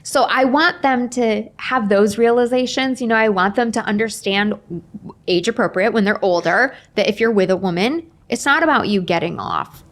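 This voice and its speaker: A woman's voice: on the high side, moderate pace. A woman in her forties speaking as a guest author in a casual podcast interview, candid and blunt, often voicing little imagined quotes. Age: 40s. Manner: candid, blunt, peppers talk with "like" and acted-out quotes.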